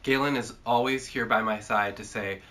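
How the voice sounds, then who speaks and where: Congested man with a bright voice. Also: fairly low pitch, steady tone, normal speed, slight background noise. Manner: rambling and jokey, many filler sounds. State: congested from a cold. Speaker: host. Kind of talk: casual chat.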